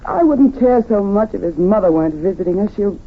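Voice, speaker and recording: An actress playing an anxious, distressed wife, fretful and pleading, in an old-time radio drama. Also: female voice, medium pitch, expressive, brisk, slightly rough, narrow, tinny audio. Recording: thin, noisy vintage radio recording.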